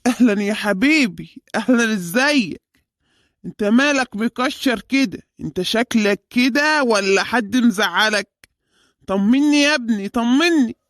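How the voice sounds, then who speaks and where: An elderly Egyptian cartoon grandfather with a high voice in a dry recording. He is kindly and fussy, easily rattled, and draws out his exclamations like a comic storyteller. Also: expressive, normal speed, fairly bright tone, no echo, full character voice. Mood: affectionate. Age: older.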